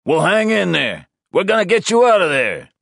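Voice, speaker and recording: Male narrator doing a shouting fire officer, steady, reassuring, raised voice, dry sound. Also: fairly high pitch, normal speed, no echo, voice pushed up.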